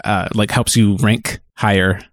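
A man co-hosting a podcast in casual discussion, talking in a halting, reflective way and circling back on his phrases. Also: fairly low pitch, fairly even intonation, dry recording, clean studio sound. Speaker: podcast co-host.

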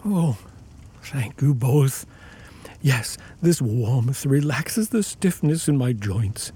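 Man acting gentle old blind father, slow and mellow. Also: mid-range voice, animated, a little hiss or hum. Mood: grateful, tired. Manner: kindly, unhurried, old-fashioned phrasing, chuckling warmth. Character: gentle, grateful, blind elderly cottager and father. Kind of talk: radio play acting.

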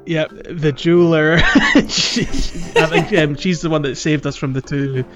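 A man's voice: a little high, measured pace, over music. A man hosting a casual gaming podcast, chatting in a jokey way and prone to chuckling. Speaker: podcast host.

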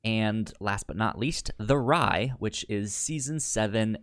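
A man with a fairly low voice chats casually as a podcast co-host. He stammers often, repeating the first sounds of words, and the recording is dry and close, with little room sound. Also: takes it slowly, clear, resonant tone, dry recording. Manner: stammering, repeats word starts. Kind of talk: casual chat about TV sitcoms.

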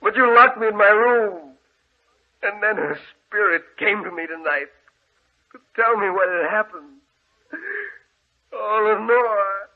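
An actor playing a distraught, obsessed grieving husband in an old-time radio horror drama, melodramatic and anguished. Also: male voice, high voice, takes it slowly, pauses often, phone-quality sound. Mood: anguished accusation. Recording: thin, band-limited vintage radio recording.

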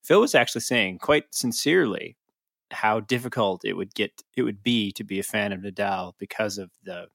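A man's voice: lowish voice, moderate pace, creaky, a bit bright, no room sound, clean studio sound. A man co-hosting a sports podcast in casual, bantering conversation. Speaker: podcast co-host.

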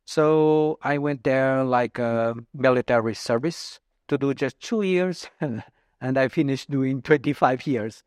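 A man in his early fifties from Portugal, a native Portuguese speaker, answering interview questions in halting English with many pauses and fillers. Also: mid-range voice, dry recording, clean studio sound. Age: 50s. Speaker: guest.

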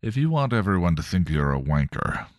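A man's voice: low-pitched, very animated, fairly quick, no room sound, studio quality. A man doing a sneering coarse vampire. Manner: cocky, slangy, rough-edged. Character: coarse sneering male vampire with a demon inside.

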